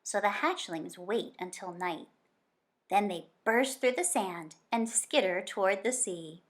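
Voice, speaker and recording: A woman with a mid-range voice reads a picture book aloud in the manner of a teacher with young listeners. Her delivery is expressive and deliberate. She shapes phrases like a storyteller and stretches important words. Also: mid-range voice. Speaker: teacher reading aloud to children.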